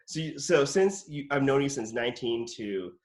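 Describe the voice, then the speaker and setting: A man's voice: medium pitch, animated, moderate pace. A man in his late thirties hosting an interview over a video call, chatty and admiring toward his guest. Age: late 30s. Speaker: host, actor. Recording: roomy echoey room.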